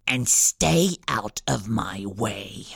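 A man's voice: on the low side, expressive, very slow, vocal fry, fairly bright tone, husky, voice pushed down, full character voice. Smug squirrel-master villain boy, as a man puts him on. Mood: menacing. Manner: cool, menacing, self-assured.

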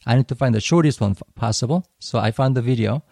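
A man whose first language is Korean talks through ideas on a podcast with a gravelly, mellow voice. He explains at a measured, slightly halting pace, recorded dry and close to the mic. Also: medium pitch, no echo. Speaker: podcast co-host. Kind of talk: casual educational discussion.

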